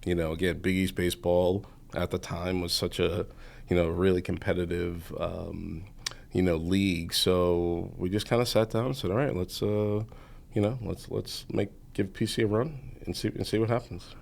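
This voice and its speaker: Gravelly, deep-voiced New man. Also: fairly even intonation, normal speed, darkish tone. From Massachusetts. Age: 40s. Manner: rambling, heavy on "you know" fillers. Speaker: guest, entrepreneur. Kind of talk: casual interview storytelling.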